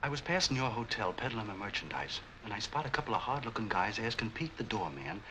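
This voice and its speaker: Male, American, helpful street peddler in old noir film; quick, slangy, lively delivery, excitable. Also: on the low side. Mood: urgent, chatty. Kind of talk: 1940s film noir acting. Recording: faintly noisy 1940s film soundtrack.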